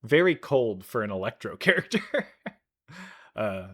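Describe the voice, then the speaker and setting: A man's voice: on the low side, very animated, a little slow, background noise. A man giving casual, unscripted commentary as host of a reaction video. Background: faint music.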